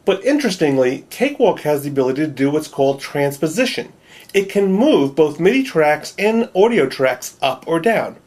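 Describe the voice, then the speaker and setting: A man's voice: on the high side, big pitch swings, moderate pace, darkish tone. Nasal male narrator, wry and self-deprecating, for a home-made tutorial voice-over. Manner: wry, joking. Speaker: video creator.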